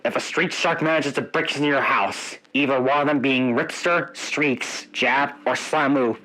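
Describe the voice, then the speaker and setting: A man's voice: mid-range voice, normal speed, sharp tone, low-quality recording. A man playing a police officer making a statement in an amateur analog-horror emergency broadcast. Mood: grave, official. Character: male police officer giving grave warnings, panicking under attack.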